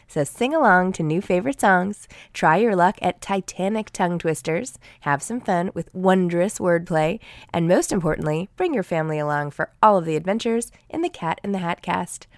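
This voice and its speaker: A woman's voice: on the low side, animated, moderate pace, dry recording, clean studio sound. A woman reading a scripted promotional spot for a family podcast. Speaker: podcast advertisement reader.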